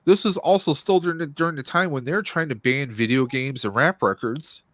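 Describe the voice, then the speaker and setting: A man co-hosting a live stream, giving casual movie commentary in a rambling, halting way as he searches for words. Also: fairly high pitch, a bit bright, no echo.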